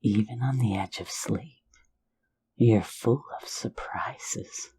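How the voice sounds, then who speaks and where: A woman voicing a teasing, flirtatious phantom thief in an ASMR roleplay, in a soft, intimate whisper right at the mic. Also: a bass voice, slow pace, slightly rough, exaggerated voice. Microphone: close mic for ASMR.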